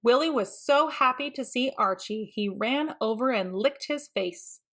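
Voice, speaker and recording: Female children's storybook narrator: bright, lively pitch swings, deliberate and expressive. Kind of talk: read-aloud for children.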